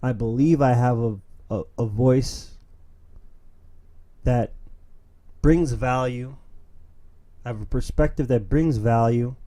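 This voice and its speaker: A young man from New York City hosts a streamed opinion show on his own. His voice is dark and mellow, and his pitch sits in the middle for a man. He talks in an earnest, emphatic way, full of fillers and restarts. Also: takes it slowly, long pauses. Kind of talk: casual monologue, reading memes.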